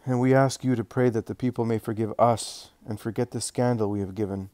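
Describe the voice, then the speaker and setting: A man voicing a gentle elderly woman. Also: mid-range voice, steady tone, brisk, no room sound, natural voice.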